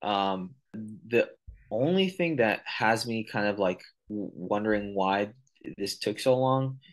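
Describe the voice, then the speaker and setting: A man's voice: on the low side, animated, slow pace. A man in his thirties co-hosting a casual podcast over a video call, thinking aloud and reaching for analogies. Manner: thoughtful, searching for words, uses analogies. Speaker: podcast co-host.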